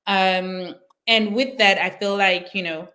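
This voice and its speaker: A woman's voice: mid-range voice, fairly even intonation, slow, bright, forceful tone. A woman, talking as a guest on a live video call. She is enthusiastic, laughs easily, and sounds at ease in the middle of her range. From Zimbabwe. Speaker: guest, parenting coach. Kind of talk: live online interview.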